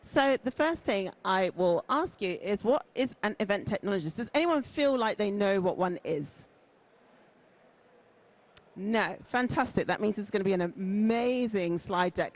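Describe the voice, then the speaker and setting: A woman giving a chatty conference presentation on stage with a booming voice, self-deprecating and talking straight to the audience. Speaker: conference speaker. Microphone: stage mic on a loudspeaker.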